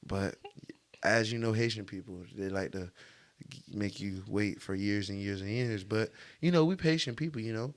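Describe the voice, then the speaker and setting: Male podcast host, lowish pitch. Casual interviewer, slangy delivery, playful teasing manner. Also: normal speed.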